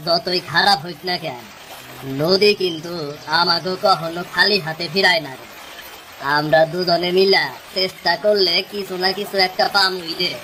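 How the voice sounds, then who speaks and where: Low-voiced hopeful fisherman, acted by a woman. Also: steady tone, normal speed, pushed and bright, slight background noise, poor audio. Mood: hopeful, reassuring. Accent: East Bengali. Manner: encouraging, philosophical, reassuring. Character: hopeful, steadfast poor village fisherman, the elder companion.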